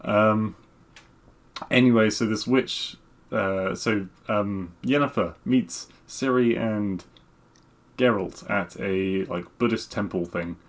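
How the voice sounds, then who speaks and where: A man with a lowish voice talks as a podcast co-host. His delivery is conversational and unscripted, and he hesitates as he pieces his thoughts together. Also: takes it slowly. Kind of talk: casual chat.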